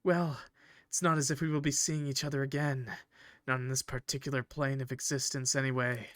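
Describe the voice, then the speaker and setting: A man voicing a possessive, coldly polite villain from a visual novel, speaking formally and theatrically in measured, condescending phrases. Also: medium pitch, flat delivery, a bit bright, no room sound, close to an everyday voice. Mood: resigned, menacing. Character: possessive, coldly polite male villain, eerie train conductor. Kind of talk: visual novel character reading.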